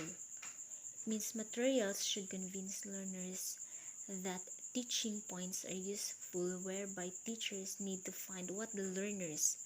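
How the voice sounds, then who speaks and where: A woman teacher with a Filipino accent and Cebuano as her first language, reading points from slides in a recorded video lecture, slow and deliberate. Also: mid-range voice, a little hiss or hum, low-quality recording. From Philippines.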